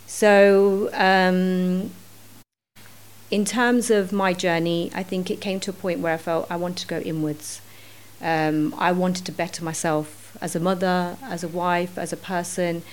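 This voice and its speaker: A middle-aged woman, a podcast guest, talking earnestly and thinking aloud, often pausing with fillers. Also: on the low side. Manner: earnest, thinking aloud with many "you know"s. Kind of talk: reflective podcast conversation.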